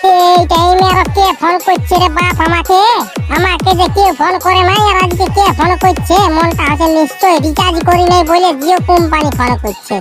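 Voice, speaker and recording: Shrill, fast-talking grumbling cartoon villager. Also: fairly even intonation, over loud music. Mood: puzzled, grumbling. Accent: rural Purulia Bengali. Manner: squabbling, exclamatory, earthy village banter. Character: excitable, grumbling village man in a comic cartoon. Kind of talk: comic cartoon dialogue.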